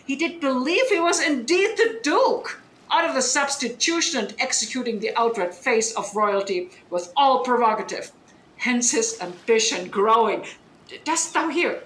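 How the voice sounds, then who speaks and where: A woman's voice: on the high side, fairly bright tone. A woman playing a bitter, scholarly deposed duchess and sorceress mother in Shakespearean audio drama, speaking in a declamatory, emphatic way that demands attention. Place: indoor room, recorded over internet link. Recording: roomy remote recording, noise.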